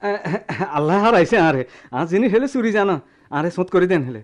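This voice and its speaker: An actor playing an excitable, fretful customer in a radio drama, with a Noakhali accent and an exclamatory, wailing manner. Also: male voice, high voice, fast talker. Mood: panicked. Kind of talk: Bengali radio drama acting.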